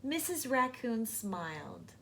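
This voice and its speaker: A woman hosting storytime, narrating a children's book with deliberate phrasing for young listeners. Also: fairly high pitch, very animated, gravelly, fairly bright tone, a little hiss or hum. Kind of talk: children's storybook read-aloud.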